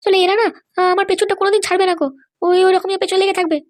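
A woman's voice: very high-pitched, little pitch movement, very fast. A woman voicing an indignant, exasperated young wife in a comic cartoon, complaining shrilly.

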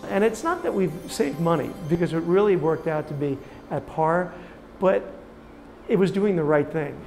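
A man's voice: on the high side, normal speed, creaky, darkish tone, soft background music, rough recording. A middle-aged man giving an informal interview explanation as a business owner, recorded indoors. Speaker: farm owner being interviewed. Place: indoor room, interview setup.

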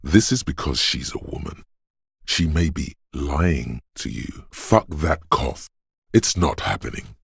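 A detective's bodily inner voice for a video game, grave and commanding. It is a bottomless bass, thick with gravel and very husky. Every line lands with solemn, portentous deliberation, like dramatic narration. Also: male voice, sing-song, slow, fairly bright tone, no room sound, studio quality, exaggerated voice. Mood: gruff, dry. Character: Endurance, grave inner voice of a battered detective's body.

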